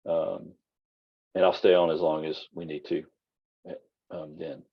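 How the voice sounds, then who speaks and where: A very low-voiced man with a husky, worn voice talks through a class lecture, loose and rambling with plenty of ums and restarts. The sound is dull and lo-fi, like a computer mic on a video call. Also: flat delivery, unhurried. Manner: casual, rambling, many fillers and restarts. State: losing his voice. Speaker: college instructor.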